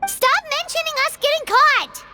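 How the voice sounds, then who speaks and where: A woman voicing a tiny, excitable, indignant childlike fairy companion in an anime video game, with a squeaky voice. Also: normal speed, noisy background. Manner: exclamatory, refers to self in third person. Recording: music behind.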